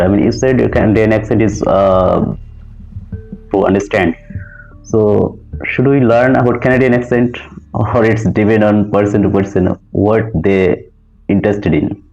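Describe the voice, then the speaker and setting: A man with an Indian accent speaks politely and hesitantly, with many "uh" pauses and a respectful "ma'am". His voice is low and mellow. It is heard through dull video-call audio with soft music in the background. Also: gravelly. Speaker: English learner. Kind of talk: casual lesson chat.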